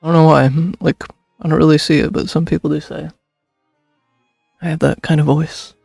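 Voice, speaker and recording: Very gravelly, casual young male streamer. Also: on the high side, little pitch movement, moderate pace, a little mellow, no room sound. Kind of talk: casual live game commentary. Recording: close dry mic, game music behind.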